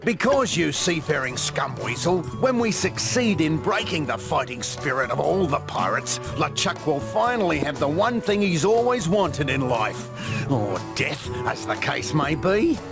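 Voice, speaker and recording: Sneering aussie mandrill bad guy, creaky voice, mocking, game music under it. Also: a little high, sing-song, measured pace, exaggerated voice. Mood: scheming, mocking. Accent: Australian. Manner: florid, mocking insults. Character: scheming male mandrill villain, smug, sneering tycoon.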